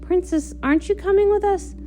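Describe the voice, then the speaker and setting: A woman voicing a studious, eager young unicorn princess in a comic read-along, earnest and excitable. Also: extremely high pitch, fairly quick, faint music behind, voice pushed up. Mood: astonished.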